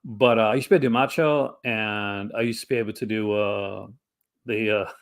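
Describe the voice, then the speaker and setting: A man co-hosting a live stream, chatting casually, rambling and laughing often, and breaking into quick character voices. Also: on the low side, fairly even intonation, clean tone. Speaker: co-host. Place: home setup for a live stream. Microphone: desk mic for a live stream.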